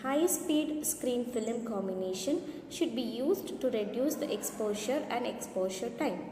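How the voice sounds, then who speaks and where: A woman's voice: a little high, lively intonation, measured pace. Woman lecturing in an echoey room. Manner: careful, reading from notes with small slips. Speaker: lecturer in radiography. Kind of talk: read-out lecture. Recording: echoey room with long reverb, faint noise.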